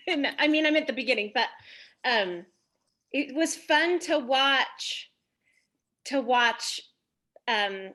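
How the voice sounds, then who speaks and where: A woman's voice: sits high, lively intonation, slow, pauses often, pushed and bright. A woman speaking casually as a cast member in a group conversation. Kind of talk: casual chat.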